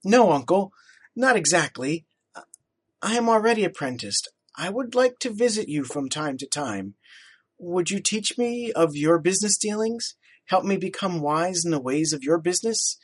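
A man's voice: fairly high pitch, pitched above the performer's own voice. A man puts on a well-mannered boy with a raised, eager voice. He speaks formally and with some hesitation, careful to stay respectful. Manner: polite, formal, slightly hesitant. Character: boy, polite, earnest young nephew.

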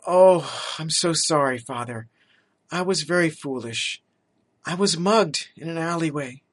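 A man voicing an earnest, polite young gentleman in a storybook reading, who stammers now and then. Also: fairly high pitch, measured pace. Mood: apologetic.

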